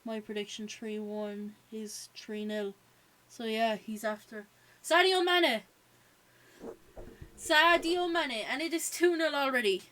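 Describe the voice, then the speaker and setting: A woman's voice: on the high side, fairly even intonation, slow pace, fairly bright tone, slight background noise, poor audio. A woman with an Irish accent hosting a live watch-along stream. She chats casually, reads viewer messages aloud and leans on frequent "um" and "yeah" fillers. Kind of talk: live watch-along chat and match reactions.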